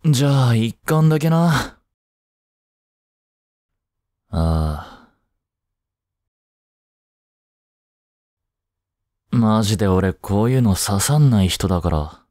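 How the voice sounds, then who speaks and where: A man playing an aloof young boyfriend in an intimate voice drama, grumpy but soft-hearted, speaking haltingly close to the mic. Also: lowish voice, expressive, no echo, natural voice. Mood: grudging. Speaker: amateur voice drama creator and actor.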